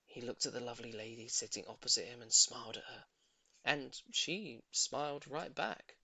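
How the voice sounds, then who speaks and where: A woman reading a children's story aloud as expressive, dramatic narration. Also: extremely low pitch, moderate pace, gravelly, husky. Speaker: audiobook reader.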